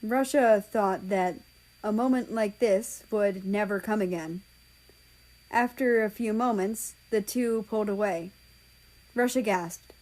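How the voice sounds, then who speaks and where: A woman narrates a story as an amateur reader. Her pitch sits in the middle for a female voice, and she takes the scripted text at a slow pace. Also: a little mellow, rough recording.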